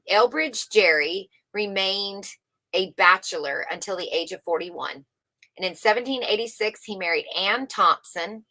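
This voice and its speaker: A woman's voice: medium pitch, bright, forceful tone, rough recording. A woman livestream host reading a book aloud unrehearsed, informally, stumbling over words and correcting herself as she adds casual commentary.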